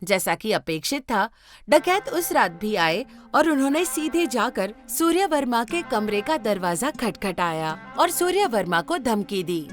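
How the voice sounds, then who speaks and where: A woman's voice: on the high side, sing-song, unhurried, faint music behind. A woman narrating a scripted moral story.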